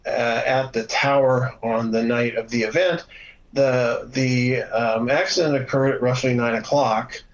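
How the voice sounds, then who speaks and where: Gravelly man explaining in a halting, measured way. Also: medium pitch, fairly even intonation, muffled recording. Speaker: former air traffic controller, instructor, guest expert. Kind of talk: explanatory news interview.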